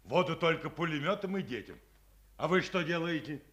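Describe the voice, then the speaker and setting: An actor playing a stern, wounded army commissar who gives curt orders in a 1950s Soviet radio play. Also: male voice, fairly high pitch, animated, fairly quick, fairly bright tone. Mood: stern anger. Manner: curt, commanding, clipped orders. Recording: noisy old radio play recording.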